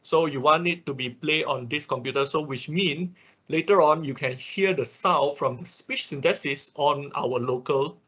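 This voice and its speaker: A man's voice: fairly high pitch, moderate pace, pushed and bright, husky. A man giving an informal hands-on technical tutorial as an online instructor, often checking in with short tags, recorded on a dull computer microphone. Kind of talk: hands-on technical tutorial, walking through steps. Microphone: computer microphone in an online class.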